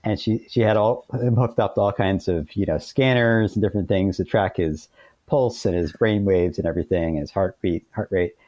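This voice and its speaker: A man's voice: deep voice, moderate pace, dark tone, no echo, dull audio. A man speaking as an interview guest, explaining things casually through informal anecdotes. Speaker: podcast guest, author.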